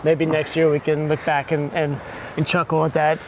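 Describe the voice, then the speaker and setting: An American man hosting a casual walking podcast outdoors, relaxed and joking, laughing often. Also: a little high, normal speed, poor audio. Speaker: podcast host. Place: outdoors, windy coast and woods. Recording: outdoor recording with loud wind noise.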